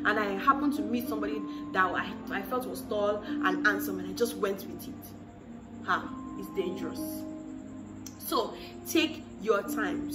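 A woman in her thirties talking to the camera, giving earnest faith-based relationship advice and repeating key phrases for emphasis. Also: mid-range voice. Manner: earnest, preachy, repeats phrases for emphasis, acts out quoted voices. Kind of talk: direct-to-camera advice talk. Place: small room against a plain wall. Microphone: camera in front, chest-up framing. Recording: roomy sound from a distant mic with music behind.

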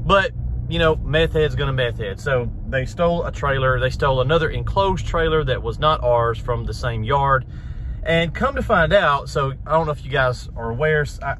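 A man, chatting casually to camera as a vlog host inside a vehicle. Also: medium pitch. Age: 30s. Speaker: vlog host, pressure washing business owner. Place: inside a truck cab, driving then parked. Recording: in-car recording with road noise.

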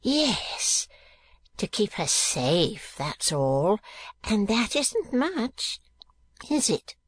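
Storybook old miser: high swooping cracked voice, slow and husky, sly, fearful, wheedling. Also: male voice, wildly swooping pitch, no echo, full character voice. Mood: anxious, affected amusement. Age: older. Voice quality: croaking, wheezy cackle, husky and cracked. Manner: faltering, wheedling, repeats himself. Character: anxious, sly, cowardly old miser, tittering bridegroom.

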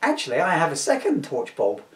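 Man as an earnest superhero, lively pitch. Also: brisk. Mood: matter-of-fact. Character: earnest, dutiful young male superhero, mildly exasperated. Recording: roomy, distant camera microphone.

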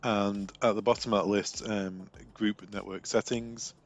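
A man's voice: fairly low pitch, steady tone, unhurried, soft background music. A man working as a technical trainer, narrating a screen demo in an informal, step-by-step way.

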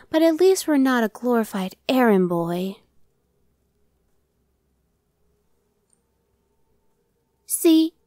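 A woman's voice: on the high side, darkish tone, no echo, studio quality, lightly acted. A woman voicing an impatient, sardonic female demon scout in an ASMR roleplay, talking in a conversational, dismissive way. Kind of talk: ASMR roleplay, one-sided dialogue.

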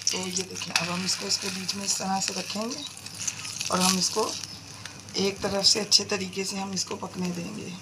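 A woman's voice: mid-range voice, little pitch movement, normal speed, heavy background noise. Chatty Pakistani Urdu-accented woman cooking. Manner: chatty, repeatedly points things out to viewers. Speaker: cooking channel host. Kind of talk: home cooking tutorial voice-over. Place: home kitchen.

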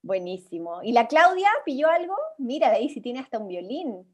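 High-voiced young Chilean woman leading a workshop. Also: very animated, normal speed, a little mellow, lo-fi sound. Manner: encouraging, informal. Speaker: workshop host. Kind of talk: interactive online creative workshop. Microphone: video call.

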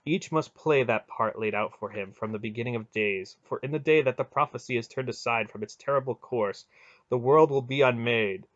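A man's voice: medium pitch, normal speed, no room sound, dull audio, close to an everyday voice. A man voicing a firm, solemn woman in a storybook, speaking formally in archaic phrases.

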